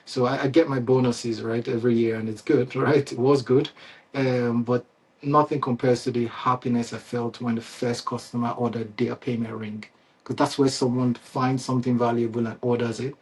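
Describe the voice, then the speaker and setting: Husky man with a Nigerian accent. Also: mid-range voice, level tone, normal speed. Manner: conversational, many fillers and restarts, chuckles often. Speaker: CEO and founder hosting a company Q&A. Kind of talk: unscripted casual address to customers.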